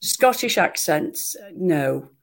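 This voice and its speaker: A woman, an actress chatting in an interview and telling lively, self-mocking stories. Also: sits low, extremely slow. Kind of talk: conversational interview.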